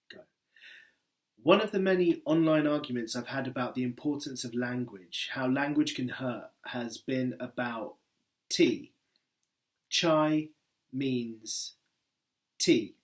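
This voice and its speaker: A man reading a personal essay aloud in a wry, conversational way, leaning on key words for emphasis. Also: mid-range voice. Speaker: book editor and essayist reading his work.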